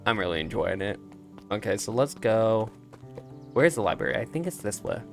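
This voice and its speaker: A man's voice: fairly low pitch, animated, moderate pace, vocal fry, soft background music. A man doing casual, chatty game commentary, reacting aloud as he plays. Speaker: let's play streamer.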